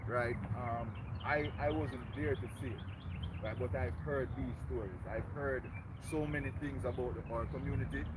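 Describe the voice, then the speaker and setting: Jamaican man speaking over loud traffic. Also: mid-range voice, fairly even intonation, moderate pace. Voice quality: strong, loud voice. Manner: earnest, rallying, tags lines with "right?". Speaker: community organizer. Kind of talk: outdoor community meeting speech. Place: outdoors by a road. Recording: loud traffic noise behind. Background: passing cars.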